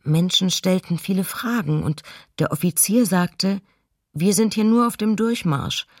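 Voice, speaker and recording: A woman's voice: medium pitch, unhurried, darkish tone, dry recording. Female wartime diarist: sober, observant, restrained, mid-range voice, dry radio studio. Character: sober city diarist observing occupying soldiers. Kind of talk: radio docudrama reading of testimony.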